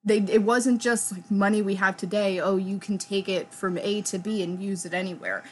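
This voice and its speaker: A woman's voice: mid-range voice, steady tone, normal speed, slightly rough, rough recording. A young woman co-hosting a casual podcast, blunt and jokey, swearing freely as she chats. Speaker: podcast co-host. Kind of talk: casual chat.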